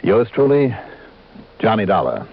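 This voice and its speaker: An actor playing a confident, wry insurance investigator in old radio detective style, brisk and assured. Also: male voice, lowish voice, big pitch swings, vocal fry, very noisy, full character voice. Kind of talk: 1950s-60s radio detective acting and narration. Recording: dull old radio recording.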